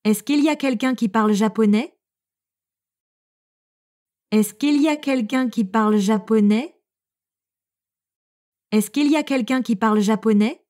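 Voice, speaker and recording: Woman reading french phrases for beginners, slow and clear, slightly high voice. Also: darkish tone. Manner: reads each phrase three times, evenly.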